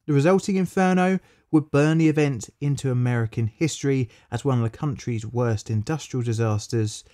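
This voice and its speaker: Measured male documentary narrator. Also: medium pitch, a little mellow. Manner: measured, matter-of-fact storytelling with stressed key words.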